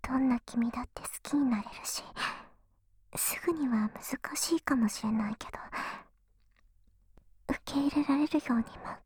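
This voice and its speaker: A woman playing a shy, jealous and devoted young tsundere girlfriend in an intimate ASMR voice drama, breathy and hesitant, close to a binaural microphone. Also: high-pitched, normal speed, pauses often, a little mellow, slight background noise, close to an everyday voice. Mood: earnest, devoted. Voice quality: breathy whisper. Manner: bashful, hesitant, confiding. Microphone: close binaural microphone, dry.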